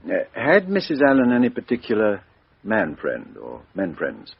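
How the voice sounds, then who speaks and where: An actor playing a businesslike, methodical British police inspector in a radio drama, putting questions with small hesitant lead-ins. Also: male voice, on the low side, wildly swooping pitch, normal speed, vocal fry, husky, dry recording, full character voice. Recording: dull, lo-fi radio drama recording.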